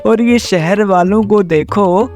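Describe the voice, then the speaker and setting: A man's voice: high voice, slow, a little mellow, soft background music. A man voicing a blustering, put-upon village man in a cartoon story, exclaiming and complaining dramatically. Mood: delighted. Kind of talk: Hindi cartoon story voice acting.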